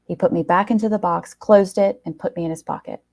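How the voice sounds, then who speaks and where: Low-voiced woman reading a story, mellow and creaky. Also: steady tone, fairly quick. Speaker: reader of a short story. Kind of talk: expressive first-person story narration.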